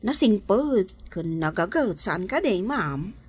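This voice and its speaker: A Filipino woman playing an excitable, caring female household helper, chatty and expressive in an audio drama. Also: mid-range voice, takes it slowly, breathy edge, full character voice. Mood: chatty, approving. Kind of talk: Ilocano audio drama acting.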